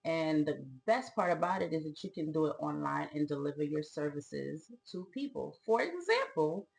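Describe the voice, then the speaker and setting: A woman's voice: low voice, normal speed, gravelly. A woman with a Haitian Brooklyn accent, co-hosting a casual chat and explaining business ideas with enthusiasm. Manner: enthusiastic, animated, talks with her hands in her words. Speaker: co-host of a business channel.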